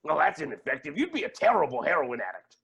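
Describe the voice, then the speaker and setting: Casting: male actor as cocky comic stage villain, bright high voice, wildly swinging delivery, melodramatic and dry. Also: huge pitch swings, quick pace, gravelly, full character voice. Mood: smug. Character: cocky, theatrical billionaire villain, stoic through pain. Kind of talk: staged play reading.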